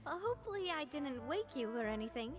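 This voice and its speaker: A woman playing a confident, playful young woman leader in a video game, with a breezy, teasing, friendly manner. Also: high voice. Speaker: game character. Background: game music.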